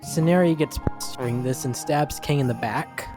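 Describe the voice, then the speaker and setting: A man's voice: mid-range voice, normal speed. A man reading scripted story narration in the role of narrator. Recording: dry voice over background music.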